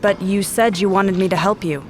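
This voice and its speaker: An actress playing a blunt, distrustful special agent in a noir audio drama, narrating and confronting in terse lines. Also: female voice, medium pitch, fairly even intonation, moderate pace, close to an everyday voice. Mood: questioning, surprised. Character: hard-boiled, distrustful female special agent. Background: background music.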